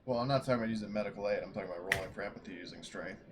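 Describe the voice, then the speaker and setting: A man chatting casually as a tabletop game master, speaking haltingly as he thinks aloud. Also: on the low side, background noise. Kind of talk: casual post-game chat.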